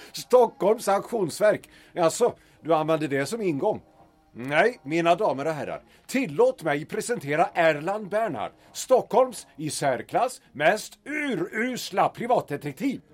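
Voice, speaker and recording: An actor playing a haughty, condescending Stockholm gentleman in a period audio drama, speaking formally and theatrically. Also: high-pitched, big pitch swings, soft background music. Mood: scornful. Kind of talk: period radio drama acting.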